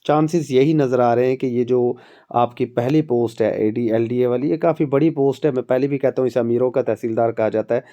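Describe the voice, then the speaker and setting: Man with a sore throat and a mellow voice. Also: medium pitch, lo-fi sound. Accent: Pakistani Urdu. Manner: chatty, conversational, addresses viewers directly. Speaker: exam prep academy instructor. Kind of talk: informal exam and job update talk.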